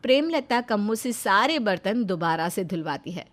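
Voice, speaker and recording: A woman's voice: mid-range voice, expressive, normal speed. A woman narrating a scripted moral story as a storyteller.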